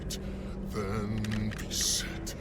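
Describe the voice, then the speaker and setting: An actor voicing a savage, contract-bound devil beast in a video game, menacing, boastful and theatrical, swinging from hiss to roar. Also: male voice, on the low side, monotone, very slow. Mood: solemn chanting.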